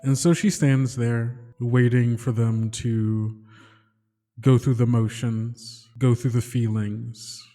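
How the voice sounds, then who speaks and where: A man narrating his character's story solemnly and tenderly, in short measured phrases with pauses. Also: mid-range voice, level tone, mellow. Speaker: player in a tabletop game podcast. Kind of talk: slow solemn third-person story narration. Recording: long reverb under music.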